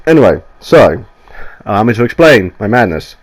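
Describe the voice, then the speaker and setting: A man's voice: lowish voice, big pitch swings, moderate pace. Nasal, sweary man with a cold. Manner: rambling, sweary, enthusiastic, restarts often. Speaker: gaming YouTuber. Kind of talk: casual game review voiceover. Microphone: voiceover mic.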